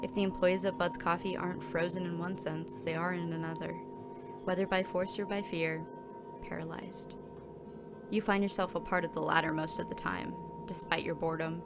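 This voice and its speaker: A woman's voice: lowish voice, level tone, normal speed, lightly acted. A woman playing a weary, downtrodden coffee shop worker in a scripted audio drama. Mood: weary, brooding. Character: weary, downtrodden barista narrating their own workday in second person. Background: music.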